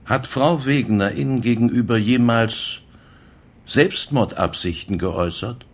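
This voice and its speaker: An actor playing a probing police inspector in a radio crime drama, measured, formal and insinuating as he questions. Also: mid-range voice. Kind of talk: naturalistic German radio play interrogation.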